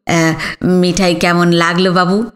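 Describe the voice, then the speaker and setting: A woman's voice: fairly low pitch, level tone, a little slow, voice pushed down, lightly acted. A woman voicing a humble, hardworking village sweet-shop man with an East Bengali accent in an audiobook. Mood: obliging. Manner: humble, respectful. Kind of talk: audiobook character dialogue.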